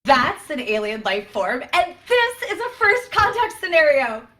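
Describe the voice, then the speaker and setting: High lively female voice, actress as eager science officer, quirky, excitable, chatty, sci-fi comedy play. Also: measured pace, exaggerated voice. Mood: cheerfully earnest.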